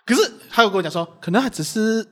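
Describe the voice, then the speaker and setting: A man's voice: sits high, lively intonation, fast, background noise. A man, a guest casually chatting about his amateur voice acting. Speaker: podcast guest, amateur voice actor. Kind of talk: casual behind-the-scenes chat.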